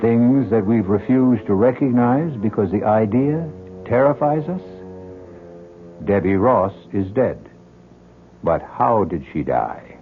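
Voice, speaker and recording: An actor as the grave, philosophical male narrator of an old-time radio mystery, measured and portentous, posing rhetorical questions. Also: lowish voice, lively intonation. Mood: grave, ominous. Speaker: host and narrator. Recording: muffled old radio broadcast with music.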